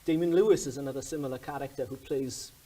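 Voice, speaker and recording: A man's voice: mid-range voice, quick pace, warm tone. A man interviewing on stage, thoughtful and hesitant, with many fillers.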